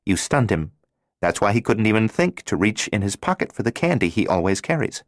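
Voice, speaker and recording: A man voicing an earnest, gentle twelve-year-old girl who speaks in a measured way. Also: lowish voice, very animated, no echo, clean studio sound, voice pushed up. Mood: warning.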